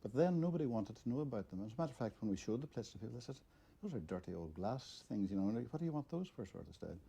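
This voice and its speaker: A middle-aged man with a Derry, Northern Irish accent, recalling things in an unscripted interview and often halting to search for words. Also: mid-range voice, sing-song, creaky, dark tone, husky. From Derry, Northern Ireland. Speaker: interviewee, local photo archivist.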